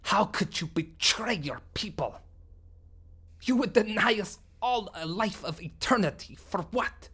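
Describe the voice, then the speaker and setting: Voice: mid-range voice, takes it slowly, fairly bright tone, breathy edge. A narrator voicing an aged male alien warlord with a scratchy, whispery voice, snarling and commanding in an audiobook. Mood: furious. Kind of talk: audiobook character voice.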